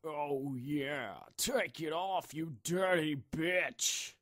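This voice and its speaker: A lecherous cartoon dog, voiced by a man, leering in a fairly high male voice. He drawls slowly, very dry, in anime parody style. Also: a bit bright, no echo, faint music behind. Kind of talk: anime parody dub acting.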